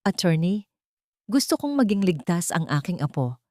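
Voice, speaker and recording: Woman voicing a gentle, resolute Filipino grandmother. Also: on the low side, sing-song, moderate pace, dry recording, studio quality. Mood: gentle pleading. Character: gentle, resolute grandmother, long-suffering mansion matriarch.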